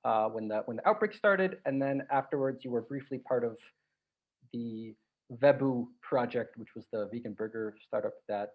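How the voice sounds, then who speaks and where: A man hosts a podcast in a relaxed, conversational way, his voice carrying a gravelly texture. The audio sounds slightly dull and lo-fi. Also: mid-range voice, normal speed. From Croatia. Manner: relaxed, chatty, with restarts and filler. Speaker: podcast host. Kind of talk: casual interview chat.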